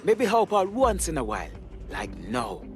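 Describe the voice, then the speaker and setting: A man's voice: on the high side, wildly swooping pitch, moderate pace. An actor playing a disillusioned, world-weary man in a video game, confiding in a weary manner. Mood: weary, concerned. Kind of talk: video game cutscene acting.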